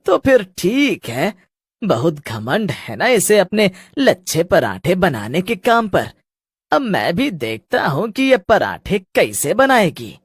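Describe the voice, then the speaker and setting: A woman puts on a young male village good-for-nothing for a Hindi cartoon. The voice is low and rough-edged, swooping widely as it wheedles and taunts. He sounds smarmy, spiteful and full of schemes. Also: big pitch swings, slow pace, no room sound, clean studio sound, full character voice. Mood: bitter, scheming. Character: smarmy, spiteful young village loafer man, pestering suitor.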